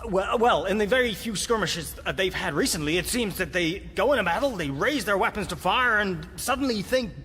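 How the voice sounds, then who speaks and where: A man's voice: sits high, lively intonation, a little fast, hardly any pauses, pushed and bright. An actor playing a nervous subordinate soldier in a comic radio drama, hesitant and deferential as he reports. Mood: flustered, apologetic. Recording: echoing hall, faint noise.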